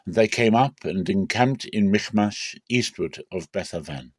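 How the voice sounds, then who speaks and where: Low-voiced man reading scripture, close and dry. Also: lively intonation, measured pace, husky, dry recording. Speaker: Bible reader. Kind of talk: dramatic scripture reading, measured narration.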